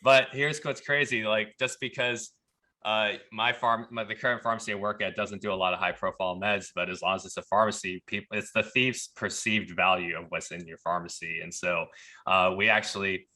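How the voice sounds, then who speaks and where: A man with a very low, clearly bright voice tells stories in a conversational way as a podcast guest. He often slips into quoted dialogue as he recounts what people said. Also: fairly even intonation, fairly quick. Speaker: pharmacist turned leadership coach. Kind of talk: conversational storytelling.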